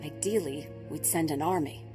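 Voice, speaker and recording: A woman's voice: deep voice, moderate pace, music in the background, lightly acted. A woman playing a compassionate, earnest research scientist in a video game, articulate and explanatory. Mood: urgent. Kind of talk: video game cutscene acting.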